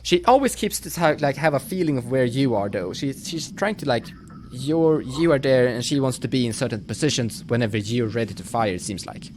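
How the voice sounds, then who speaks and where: A man running a tabletop roleplaying game, narrating the action off the cuff in a halting, thinking-aloud way. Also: medium pitch, lively intonation, a little mellow. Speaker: game master. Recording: faint noise, live stream audio.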